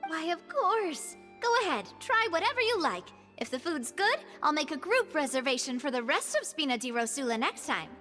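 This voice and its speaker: A woman's voice: sits high, big pitch swings, normal speed, few pauses, pushed and bright, big-room sound, full character voice. A woman playing a confident, courteous young female leader in a video game, speaking in a polite, articulate way. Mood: gracious. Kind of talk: English video game voice acting. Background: music.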